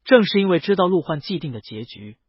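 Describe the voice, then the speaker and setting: A man's voice: sits high, sing-song, fast, breathy edge, no echo, muffled recording. A man reading a web novel aloud as a scripted, dramatic audiobook narration.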